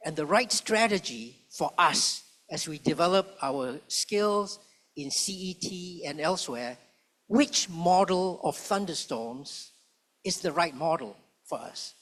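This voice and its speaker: Measured man lecturing on a panel. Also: a little high, a bit bright. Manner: measured, rhetorical, slows for emphasis. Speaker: panellist, public policy professor. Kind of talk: panel talk. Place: conference hall.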